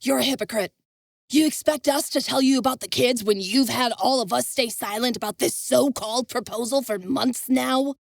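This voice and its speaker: A woman's voice: mid-range voice, fairly even intonation, moderate pace, a little mellow, dry recording, close to an everyday voice. A woman voicing a hot-tempered young man defending his sister, snapping and raging.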